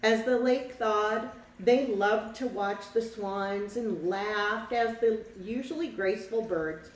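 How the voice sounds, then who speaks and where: A woman's voice: medium pitch, slow. A woman teacher reading a picture book to children expressively, with simple, casual explanations along the way. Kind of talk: children's read-aloud with casual asides to the class. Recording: roomy echo, distant mic, faint background noise.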